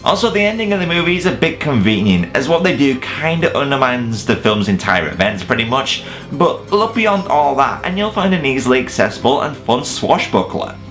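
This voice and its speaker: A man's voice: mid-range voice, very animated, brisk, hardly any pauses, fairly bright tone, faint music behind. A man hosting a scripted countdown review, with casual swearing and mock-incredulous asides. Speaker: video reviewer and host.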